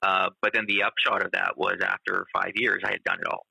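A male teacher talking in a casual conversation, halting and full of fillers. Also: sits low, little pitch movement, vocal fry, bright, forceful tone, slightly rough, dry recording, poor audio. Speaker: teacher and guest.

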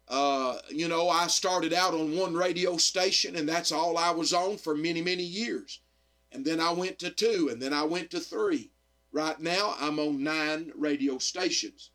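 Slightly hoarse preacher man. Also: fairly high pitch, a bit bright. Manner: earnest preaching with frequent "amen" and "uh". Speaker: radio evangelist and pastor. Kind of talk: radio sermon.

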